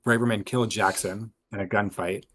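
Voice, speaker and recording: A man with a husky voice casually discussing clues in a tabletop role-playing game. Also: lowish voice, steady tone, fairly quick, fairly bright tone.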